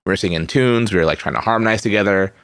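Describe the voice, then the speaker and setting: Laid-back guest musician, man in his thirties, lowish voice, dry close sound. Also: racing, dry recording. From Redmond, Washington. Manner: relaxed, rambling, frequent fillers and restarts, laughs easily. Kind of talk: casual interview.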